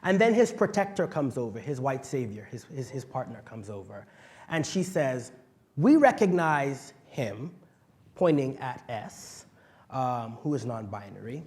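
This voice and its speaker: A young man from the Bahamas, telling a personal story as a panel speaker, passionate and vocal, swinging from quiet asides to loud outbursts. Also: medium pitch, sing-song, unhurried. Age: young adult.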